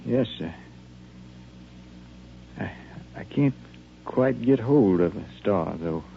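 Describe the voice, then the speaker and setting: A man's voice: lowish voice, animated, slow pace, gravelly, heavily acted. A male actor playing a plainspoken, humble soldier full of doubts, with folksy, hesitant phrasing, in 1950s radio drama style. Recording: narrow, hissy old radio recording with hum.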